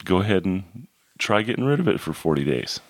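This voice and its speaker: Hoarse, halting deep-voiced man. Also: sing-song, no echo. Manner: halting, many fillers and repeated words. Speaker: podcast co-host. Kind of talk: casual chat.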